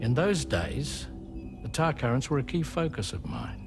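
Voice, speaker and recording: A wistful seafaring scientist and father in a game cutscene. He tells his tale reflectively and in literate phrases, his mid-pitched voice moving through wide swings of intonation, with music clearly behind it. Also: big pitch swings, moderate pace. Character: wistful father, seafaring ex-doctor and tar researcher. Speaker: ship captain and former scientist. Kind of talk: video game cutscene storytelling.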